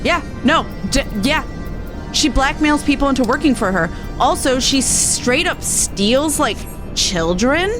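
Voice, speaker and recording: A woman streaming a game, chatting casually in an excitable, playful, informal way. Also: sits high, measured pace, music in the background. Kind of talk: casual live gameplay chat.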